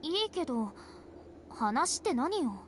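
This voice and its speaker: A woman's voice: high voice, very animated, moderate pace, a little hiss or hum. A woman playing a pouty, carefree young female vampire in a Japanese visual novel. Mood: mild, curious.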